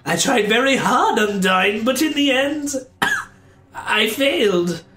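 A man voicing a nervous, boastful skeleton who stammers and speaks loudly and high. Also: lively intonation, slow, reverberant, recorded from a distance, exaggerated voice. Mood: flustered.

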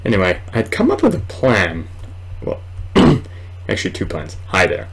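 A man in his twenties giving casual, rambling let's play commentary at home, halting at times. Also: fairly low pitch, wildly swooping pitch, vocal fry, breathy edge. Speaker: gaming video host. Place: small room at home, indoors.

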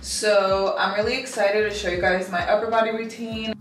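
A woman's voice: medium pitch, fairly even intonation, normal speed, distant mic. A young woman in her twenties talking earnestly and casually about her fitness goals as a vlogger. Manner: earnest, reflective, chatty with frequent "like". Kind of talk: casual vlog voice-over and talk to camera. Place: home bathroom and bedroom, indoors. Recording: roomy sound from off the mic, music behind. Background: soft background music.

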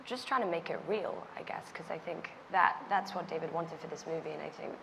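A young woman talks from a panel stage in a loose, chatty way, filling gaps with likes and ums. Her voice sits a little low. A faint hiss lies underneath. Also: brisk, gravelly, in a large hall. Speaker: actress on a press panel. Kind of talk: panel answer. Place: stage of a large event hall.

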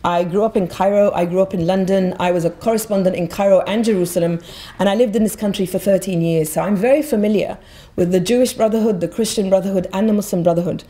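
Woman from Egypt, middle-aged, podium speaker, mellow voice, fervent and emphatic delivery. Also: mid-range voice, steady tone, fast. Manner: passionate, emphatic, repeats words when restarting. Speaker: guest speaker. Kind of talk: public talk at a podium. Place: auditorium stage. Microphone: podium mic.

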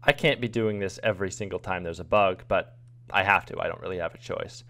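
A man's voice: sits low, fairly quick, a bit bright. A man casually explaining what is on screen as a video editor narrating a screen recording.

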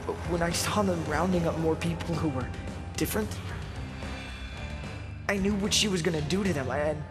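A man as bullied young American gamer, high voice, timid, halting, game drama, music behind. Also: lightly acted. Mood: haunted, halting. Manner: hesitant, halting. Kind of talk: video game acting, brooding narration.

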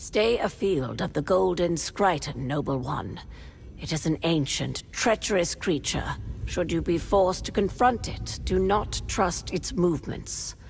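Courteous alien trader, creaky and lilting. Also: female voice, on the low side, lively intonation, darkish tone. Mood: courteous, cautionary. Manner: formal, measured, archaic phrasing. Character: alien female desert trader, courteous and cryptic. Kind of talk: video game character dialogue.

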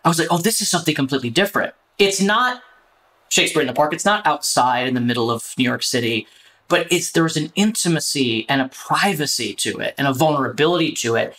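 A man's voice: fairly high pitch, lively intonation, fast talker, sharp tone. A man speaking casually as an interview guest about his work. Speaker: theater director of new artists, guest.

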